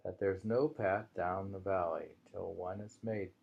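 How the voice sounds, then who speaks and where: A man reading poetry aloud and commenting on it informally, in a halting, musing way as he thinks aloud. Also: sits low, steady tone, creaky, phone-quality sound.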